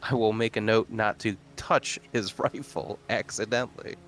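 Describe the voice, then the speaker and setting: A man's voice: fairly low pitch, steady tone, moderate pace, fairly bright tone, slight background noise. A man playing a tabletop roleplaying game, speaking in a conversational, slightly tentative way. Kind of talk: casual in-character roleplay chat.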